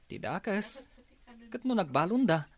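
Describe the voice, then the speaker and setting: Lalaki nga agakting iti naanus ken naalumamay a baro. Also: male voice, high-pitched, big pitch swings, measured pace, pitched above the performer's own voice. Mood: reassuring. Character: patient young man calming his anxious elder. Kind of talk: Ilocano radio drama acting.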